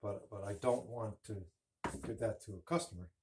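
A man's voice: lowish voice, normal speed, background noise. A man in his fifties hosting a woodworking channel, chatting in a rambling, self-correcting way while showing his work in a home woodshop, talking to a phone camera held at arm's length. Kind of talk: casual workshop demonstration. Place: home woodshop with a low ceiling.

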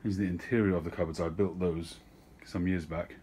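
A middle-aged man from England vlogging about pipe tobacco at his desk at home, talking in a relaxed, unhurried way with frequent ums. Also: deep voice, a little hiss or hum. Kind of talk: casual talk to camera.

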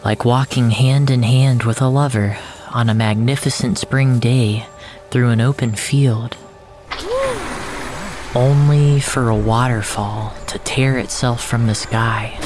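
A narrator reading surreal literary fiction in a measured, first-person storytelling style with dramatic pauses. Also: mid-range voice. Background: music.

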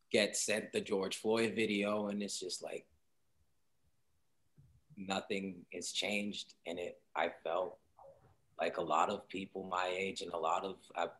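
A young man from Seattle talks over a video call. His voice sits very low, and his delivery is soft-spoken and reflective, full of small fillers. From Seattle, Washington. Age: young adult. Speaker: guest artist. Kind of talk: casual interview on a video call. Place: home room, indoors.

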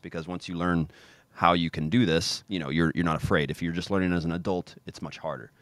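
Podcast guest: low American man in his thirties, lively, filler-heavy, dry studio mic. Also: dry recording. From Kansas City, USA. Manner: energetic, many fillers and restarts. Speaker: guest, pro soccer player. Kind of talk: casual podcast interview. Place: home room with football shirts on wall. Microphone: studio mic on boom arm, video call.

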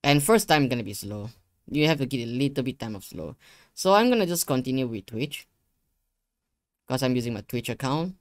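A young man giving a casual software tutorial, talking himself through each step in an informal, rambling way. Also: mid-range voice, animated, fairly quick, no echo. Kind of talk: casual screen-share tutorial. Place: indoor living room gaming setup. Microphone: close desktop USB microphone.

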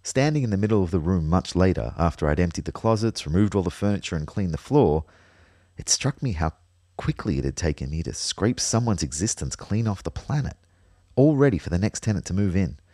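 An actor playing a reflective, concerned young man, telling his story in a measured, understated way in an audio drama. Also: low voice, expressive, warm tone, no room sound, clean studio sound. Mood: sombre, reflective. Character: reflective, concerned young boyfriend, a fitness fanatic. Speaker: narrator and lead character. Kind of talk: first-person audio drama narration and dialogue.

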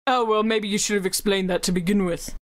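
A woman playing a flippant, rambling, easily indignant pirate in an improvised comic film dub, halting and sarcastic. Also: mid-range voice, steady tone, lightly acted. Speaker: improv fandub voice actor.

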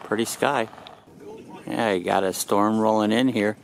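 An older man chatting casually while he films outdoors at a flying field, relaxed and rambling with lots of "uh" pauses, picked up by the camera mic. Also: on the low side, gravelly, a little hiss or hum, poor audio. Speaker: vlogger filming at a model airfield. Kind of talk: casual narration while filming.

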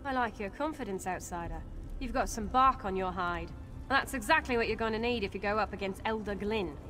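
A woman's voice: mid-range voice, fairly quick, sharp tone, faint music behind, natural voice. A woman playing a proud, hostile elf guard in a fantasy video game, curt and threatening. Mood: grudging respect.

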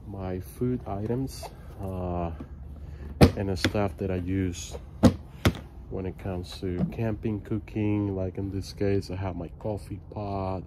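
A middle-aged man with a low voice talks through his camping gear in a casual, plain way. He takes his time, hesitating as he explains. It is recorded outdoors on a handheld phone with clear background noise. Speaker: gear reviewer, vlogger. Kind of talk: casual product walkthrough. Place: outdoors at night beside a truck. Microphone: phone at arm's length.

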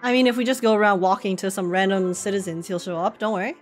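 A woman's voice: medium pitch, fairly even intonation, fast. A young woman giving casual, chatty, giggly reaction commentary while playing a game. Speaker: streamer. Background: game music.

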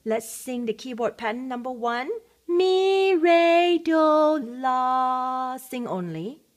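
A woman music teacher with a high voice talks through a keyboard lesson at a very slow, patient pace. She sings solfege syllables and song phrases between her spoken instructions, with a keyboard clearly playing behind her. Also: lively intonation.

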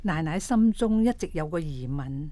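A woman playing a gentle, accepting elderly mother-in-law in a radio drama, speaking in a measured, reassuring way. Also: sits low, lively intonation, no echo. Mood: hesitant, probing.

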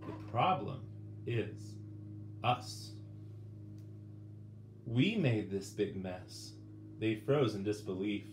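A middle-aged man reading a children's picture book aloud with dramatic pauses, turning to the listeners with questions. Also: lowish voice, expressive, darkish tone, faint music behind. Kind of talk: expressive children's storybook read-aloud. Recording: roomy indoor sound with faint background noise.

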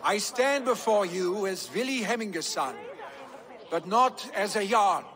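A brash young Viking warrior from a video game drama. His voice sits a little high for a man and moves slowly and deliberately. He speaks with earnest, heartfelt weight, like a jarl's son. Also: animated, a bit bright. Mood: solemn, proclaiming.

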